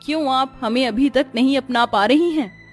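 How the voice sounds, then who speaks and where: A woman's voice: high-pitched, moderate pace, lightly acted. A woman voicing a patient, put-upon young daughter-in-law in a cartoon, polite and pleading. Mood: hurt, pleading. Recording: music under the voice.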